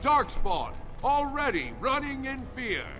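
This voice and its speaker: An actor playing a town crier in a video game, loudly declaiming the news. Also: male voice, very high voice, sing-song, takes it slowly, heavily acted. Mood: proclaiming. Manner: loud, declaiming. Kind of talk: video game street proclamation. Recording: long hall reverb, music behind.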